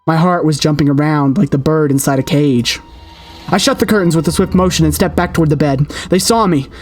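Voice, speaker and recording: A man's voice: a little high, a little fast, faint music behind. A man reading a horror game's story aloud with theatrical, suspenseful delivery, as a player narrating.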